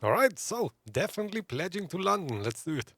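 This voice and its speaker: Lively higher-pitched man commenting on gameplay. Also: fairly quick, a little hiss or hum. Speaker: gamer commentator.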